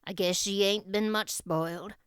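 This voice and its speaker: A woman's voice: medium pitch, steady tone, normal speed, fairly bright tone, clean tone, dry recording, studio quality, natural voice. A woman voicing a shy, proud and fond old country man, muttering in a folksy way in an audiobook. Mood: proud, tender.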